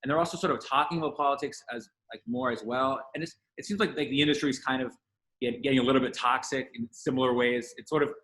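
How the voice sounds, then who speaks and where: Rapid-talking man with a bright voice. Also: mid-range voice, fairly even intonation, low-quality recording. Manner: rambling, halting, stop-start phrasing. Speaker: podcast co-host. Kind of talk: casual sports podcast chat.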